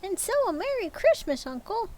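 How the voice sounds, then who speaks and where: A woman reading aloud and voicing a cheerful, earnest young man who pleads warmly with his uncle. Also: very high voice, very animated, moderate pace, pitched above the performer's own voice, poor audio. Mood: pleading. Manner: pleading, warm-hearted.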